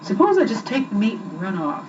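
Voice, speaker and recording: A woman's voice: mid-range voice, very animated, a little fast, recorded from a distance, natural voice. A woman voicing a wry, irritable male coyote in a storybook reading, dry and needling, on an old amateur recording. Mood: irritated. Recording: muffled, noisy old amateur home recording.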